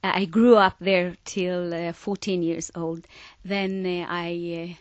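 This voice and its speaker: Woman from iran, slow and hesitant, lots of uh and um, kinda low voice, muffled dry sound. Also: level tone, dry recording. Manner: halting, searching for words with many fillers. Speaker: singer guest. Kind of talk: interview.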